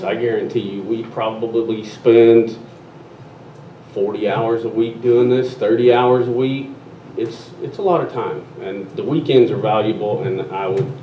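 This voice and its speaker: A man with a low voice argues his point in a board meeting, earnest and emphatic. He sits away from the mic, so he sounds distant and dull. The room echoes around him. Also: a little hiss or hum. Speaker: board member. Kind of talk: meeting discussion.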